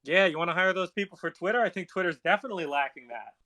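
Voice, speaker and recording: A man's voice: high voice, racing, sharp tone, no room sound. A man debating technical matters casually, with a blunt, interjecting manner.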